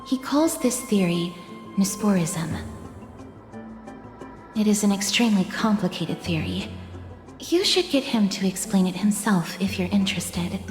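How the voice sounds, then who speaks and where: A composed young woman from a video game, played by an actress, with a mid-pitched voice and courteous, measured phrasing. Her lines carry a roomy echo with music underneath. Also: expressive, close to an everyday voice. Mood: calm, explanatory. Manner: polite, measured, formal. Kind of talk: scripted video game dialogue. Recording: echoey reverberant hall, music behind.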